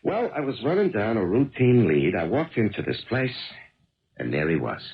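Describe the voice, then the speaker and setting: An American actor playing a weary, plain-spoken police detective husband in a 1970s radio drama. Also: male voice, on the low side, huge pitch swings, moderate pace. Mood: relieved, matter-of-fact. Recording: dull lo-fi 1970s radio broadcast.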